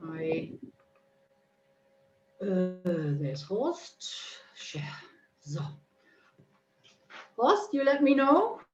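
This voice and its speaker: A woman's voice: on the low side, big pitch swings, very slow, pauses often. A woman casually coordinating screen sharing and slides during an online meeting, heard over a video call. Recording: echoey, dull, noisy video call.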